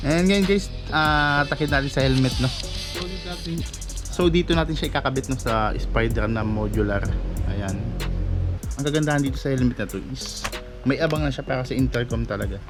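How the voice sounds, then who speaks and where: A man's voice: mid-range voice, moderate pace, long pauses. A man from the Philippines, a motorcycle rider, chatting casually as he reviews a helmet headset at home and talking straight to his viewers with lots of filler tags. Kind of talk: casual product review vlog. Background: music playing from the headset.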